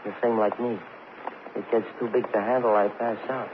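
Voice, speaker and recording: A man's voice: fairly low pitch, little pitch movement, lightly acted. An actor playing a self-pitying, cornered drunk man who stammers and repeats himself in an old radio crime drama. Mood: needling, weary. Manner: halting, repetitive, stammering, street slang. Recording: muffled, hissy old radio recording.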